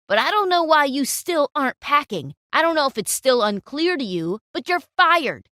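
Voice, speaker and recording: A woman's voice: high-pitched, very animated, moderate pace, sharp tone, dry recording, studio quality, pitched above the performer's own voice, exaggerated voice. A woman voicing a composed, stern female client who scolds a photographer.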